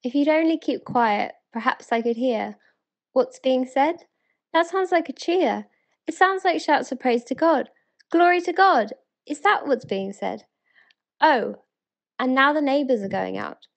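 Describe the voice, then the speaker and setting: A British woman playing an eager, wonder-struck townswoman in a dramatic verse reading, earnest and excited. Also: high voice, a little slow, vocal fry, exaggerated voice. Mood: excited, insistent.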